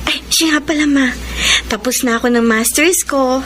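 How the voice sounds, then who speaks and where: Younger sister female actor, Filipino, twenties-ish young adult, highish voice, tender and tentative, radio drama with music bed. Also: normal speed, lightly acted. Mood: gentle.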